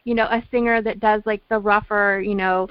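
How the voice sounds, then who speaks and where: A woman's voice: mid-range voice, steady tone, fairly quick, smooth, dry recording, dull audio. A woman hosting a radio show, chatting to a band on the line with rambling restarts. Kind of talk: radio interview.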